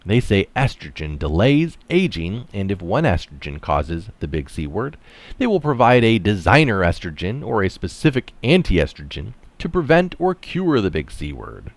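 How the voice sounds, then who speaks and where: Deep-voiced man reading aloud, very animated intonation. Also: normal speed. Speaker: article reader and commentator. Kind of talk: reading an article aloud with casual asides.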